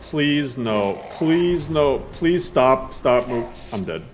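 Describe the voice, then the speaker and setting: A man's voice: medium pitch, animated, takes it slowly, slight background noise. A man giving casual, joking gameplay commentary, rambling and self-deprecating. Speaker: game streamer.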